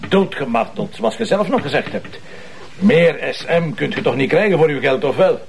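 A man's voice: on the high side, animated, moderate pace, creaky. A man playing a sardonic, bossy Flemish police commissioner who addresses the team like a theatrical, teasing schoolmaster in a TV drama.

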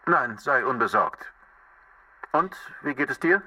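A man's voice: mid-range voice, expressive, unhurried, sharp tone, heavily acted. An actor playing a cold, evasive bourgeois man on the telephone, condescending and politely dismissive, in a radio drama. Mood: guarded, polite. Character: cold, evasive bourgeois brother-in-law, coolly self-serving. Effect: telephone filter. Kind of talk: German radio play acting. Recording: harsh, thin telephone line, distant.

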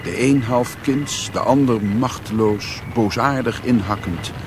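A man's voice: mid-range voice, unhurried, noisy background, lightly acted. An actor playing a sombre man who recounts his grim adventures in literary radio drama narration. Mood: grave.

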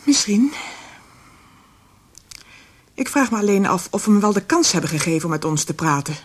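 A woman's voice: medium pitch, big pitch swings, moderate pace, breathy edge. A woman playing a sober, bitter grown-up daughter in a radio drama, reflective and resigned. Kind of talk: Dutch radio drama acting.

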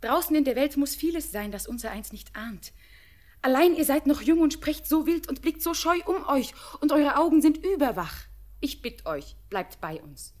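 An actress playing an innocent, tender young village girl in a classic radio drama, speaking lyrical, earnest with hushed phrases. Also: sits high, very animated, moderate pace. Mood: gentle, pleading. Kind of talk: classic German radio drama, poetic stage diction.

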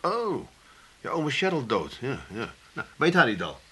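A man's voice: medium pitch, big pitch swings, moderate pace, a bit bright, slightly rough. A man playing a sheepish, muddled working-class son in a comedy radio play. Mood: placating. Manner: evasive, muddled.

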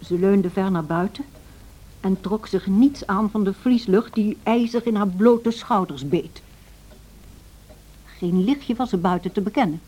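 A woman's voice: mid-range voice, unhurried, warm tone, close to an everyday voice, poor audio. An actress playing a protective, practical female servant who tells a story earnestly in a radio drama. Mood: grave, narrating. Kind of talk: radio drama narration and acting.

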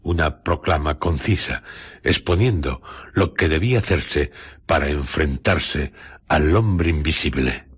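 A man with a Castilian accent narrating a scripted radio drama in a measured, solemn storytelling manner. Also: rumbling low, slightly rough. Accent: Castilian Spanish. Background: music.

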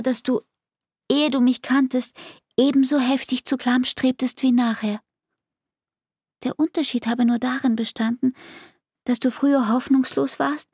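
An actress playing a hurt young barmaid in a radio play, speaking intimately and deliberately in a breathy, hushed voice. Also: female voice, fairly high pitch, no room sound, clean studio sound. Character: Frieda, hurt young barmaid reproaching her lover. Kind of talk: radio play acting, literary monologue.

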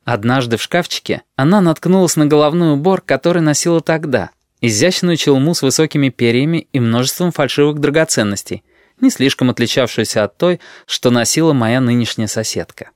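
A man calmly narrating a first-person audiobook in a measured storytelling manner. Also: mid-range voice, dry recording, clean studio sound.

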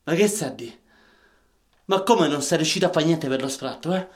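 A man playing a troubled, rough-talking Roman in a radio drama, with a Roman Italian accent and colloquial, emphatic delivery. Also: on the high side, animated, moderate pace, close to an everyday voice. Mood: agitated, defiant. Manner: colloquial, emphatic, short bursts of questions.

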